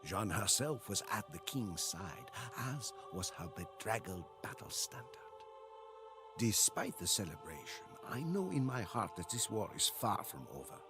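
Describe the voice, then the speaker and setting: An actor playing a weary, devoted French soldier, narrating a war journal for a game in a solemn, dramatic, gravelly voice. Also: male voice, lowish voice, big pitch swings. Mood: reverent, then doubtful, solemn. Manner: solemn, dramatic, measured delivery. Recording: loud music under the voice.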